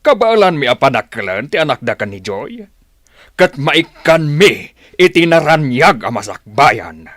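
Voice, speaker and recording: An actor playing a stern, disapproving father in a radio drama, forceful and scolding. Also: a little high, big pitch swings, a little slow, dry recording, clean studio sound, full character voice. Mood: stern, angry.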